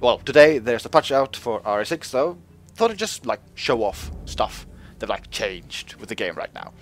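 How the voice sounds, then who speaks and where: A man's voice: mid-range voice, very animated, moderate pace, faint music behind. A man giving casual commentary over game footage, talking in a chatty way with lots of fillers and voice jumps when joking. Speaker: gaming video host.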